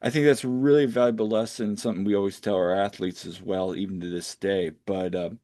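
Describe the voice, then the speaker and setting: A man's voice: sits low, steady tone, brisk, warm tone, no room sound. American man hosting a casual podcast, dry sound. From United States, Pennsylvania. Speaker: podcast host, coach. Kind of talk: casual conversational interviewing.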